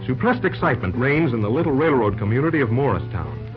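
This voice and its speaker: A man's voice: medium pitch, sing-song, fast talker. A man announcing and narrating an old-time radio serial in a formal, dramatic scripted style. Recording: dull old radio recording. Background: music.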